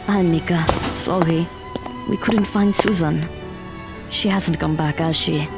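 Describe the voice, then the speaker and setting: A woman's voice: low-pitched, fairly even intonation, measured pace. A woman playing an informal, easily needled teenage girl in an audio drama, casual and a bit defensive. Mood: apologetic, downcast. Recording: music behind the voice.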